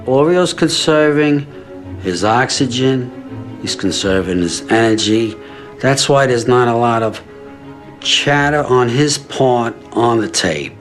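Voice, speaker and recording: Slow-talking man in documentary interview. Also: medium pitch. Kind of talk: reflective interview commentary. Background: faint background music.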